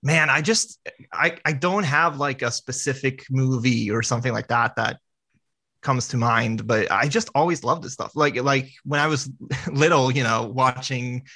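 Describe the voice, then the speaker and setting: A man's voice: medium pitch, pushed and bright. A man from Sweden, a guest on a podcast, talking casually with lots of fillers and restarts. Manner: halting, with many restarts and fillers.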